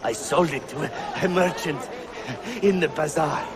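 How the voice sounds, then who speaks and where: A man's voice: on the high side, measured pace, close to an everyday voice. An actor playing a poor, defensive street thief pleading his case in a video game. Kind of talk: video game cutscene acting. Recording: outdoor crowd and music behind.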